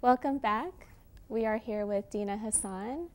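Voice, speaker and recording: Young woman host, little higher voice, short friendly questions, student tv. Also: measured pace. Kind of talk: TV interview hosting. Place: TV studio set.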